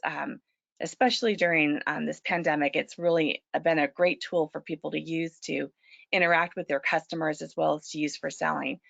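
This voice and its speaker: A woman presenting a small-business webinar, a little hoarse from allergies, often clearing her throat and saying um. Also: low voice, a bit bright, dry recording. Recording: dull lo-fi web call audio.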